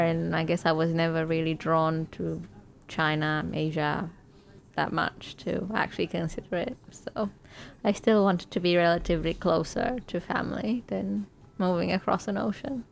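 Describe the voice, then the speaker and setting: A woman's voice: fairly low pitch, steady tone, normal speed, gravelly. A young woman from Romania with a Romanian accent, a guest chatting casually, answering in a dry, teasing way. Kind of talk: casual chat. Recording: faint background noise.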